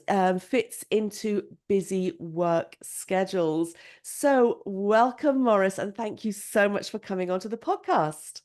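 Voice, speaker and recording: A woman hosting an English-learning podcast, speaking in an enthusiastic, expressive way as she introduces and questions her guest. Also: mid-range voice. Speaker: podcast host. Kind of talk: podcast interview.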